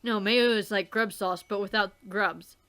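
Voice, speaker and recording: A woman voicing a laid-back teenage boy who talks in a deadpan, unbothered way. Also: mid-range voice, moderate pace, pitched below the performer's own voice, lightly acted.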